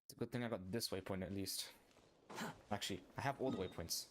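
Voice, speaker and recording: Low-voiced man, offhand gameplay commentary. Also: fast, background noise. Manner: offhand, muttering to himself. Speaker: game streamer. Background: game music.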